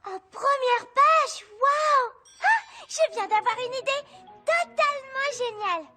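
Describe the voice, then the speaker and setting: Young cartoon girl, very high voice, eager, headstrong, insistent, music playing behind. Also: slow, exaggerated voice. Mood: excited, scheming. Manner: excitable, pleading, insistent. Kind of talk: French cartoon dub acting.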